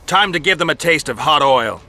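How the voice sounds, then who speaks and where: A man's voice: fairly high pitch, lively intonation, brisk, sharp tone, soft background music, exaggerated voice. An actor playing a stoic, dry-witted monster-hunting swordsman in a fantasy video game, terse and wry. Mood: urgent.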